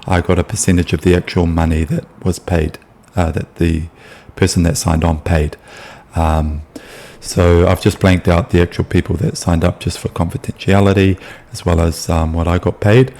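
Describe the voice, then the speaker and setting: A man's voice: sits low, a little mellow. A young man with a New Zealand accent, talking loosely through a business case study in a casual explainer video, with plenty of ums and restarts. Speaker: sales consultant.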